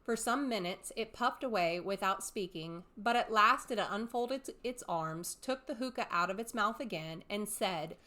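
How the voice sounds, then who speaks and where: A woman's voice: medium pitch, animated, unhurried, hardly any pauses, pushed and bright. A woman reading scripted storybook narration as an audiobook narrator.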